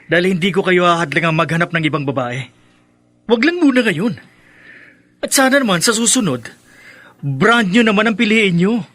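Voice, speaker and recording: A spiteful grown son in a Filipino radio drama. His high, sharp voice is sarcastic and emphatic, recorded dry and close. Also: sing-song, normal speed, pauses often, dry recording, full character voice. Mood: scornful, sarcastic. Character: spiteful, scornful grown son who despises his stepmother.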